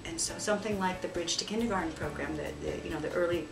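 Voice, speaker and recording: American woman, middle-aged, lowish voice, interview testimonial in an echoey room. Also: fast talker, creaky. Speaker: elementary school principal. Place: small office, indoors. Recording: roomy, distant mic, music behind.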